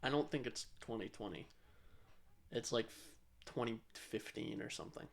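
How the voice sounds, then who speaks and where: A man's voice: medium pitch, lively intonation, normal speed, vocal fry. A man co-hosting a podcast in casual banter, rambling and swearing freely with a self-deprecating streak. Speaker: podcast co-host.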